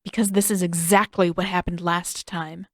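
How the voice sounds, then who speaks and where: A woman's voice: lowish voice, little pitch movement, normal speed, dry recording. A woman voicing a hostile, protective mother in an audiobook, with an icy, clipped, menacing delivery. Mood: fierce, threatening. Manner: icy, clipped, hissing threats. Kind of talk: audiobook character acting.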